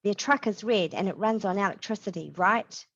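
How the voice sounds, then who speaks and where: A woman's voice: medium pitch, normal speed, fairly bright tone, no room sound, lo-fi sound. A woman voicing an urgent, pragmatic male zombie-apocalypse survivor in a story reading. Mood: guarded.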